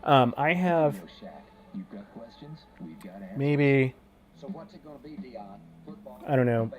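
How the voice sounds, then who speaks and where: A stuffed-up man with a cold, a little sniffly, chatting loosely on his live stream. He greets viewers one by one, tossing in dude and man, in a voice of ordinary middle pitch. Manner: laid-back, slangy, says dude and man a lot.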